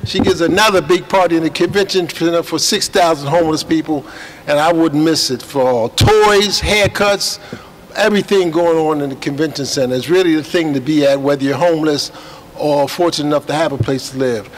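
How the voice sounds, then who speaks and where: A man's voice: on the high side, brisk, slight background noise. A man acting as emcee at a reception, giving a rambling, folksy introduction. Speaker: event emcee. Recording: echoey hall with faint background.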